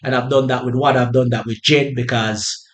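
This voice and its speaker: A man hosting a relaxed podcast, welcoming guests he calls his brothers. His voice sits at an ordinary middle pitch. He speaks in a friendly, conversational way, with plenty of "you know" and "um" along the way. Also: fairly quick. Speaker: podcast host.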